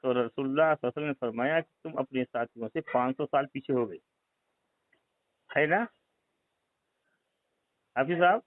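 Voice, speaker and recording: A man's voice: medium pitch, moderate pace, long pauses, no room sound. A man on a recorded phone call, arguing about religion in an insistent way and pressing with repeated leading questions. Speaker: caller questioning a religious group member. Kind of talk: phone conversation, religious argument. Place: phone line.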